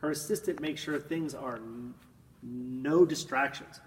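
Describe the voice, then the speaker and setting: A man reading a children's picture book aloud in an expressive, dramatic manner, drawing out words and swelling with emphasis. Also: mid-range voice, measured pace. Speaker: storybook reader. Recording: echoey room, distant mic, faint noise.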